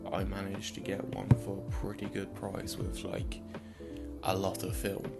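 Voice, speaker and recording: A young man with a croaky, just-woken voice, rambling casually through a home vlog with lots of restarts. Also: low-pitched. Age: young adult. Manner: rambling, self-deprecating, restarts a lot. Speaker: film photography vlogger. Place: small home office room. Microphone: desk mic in front.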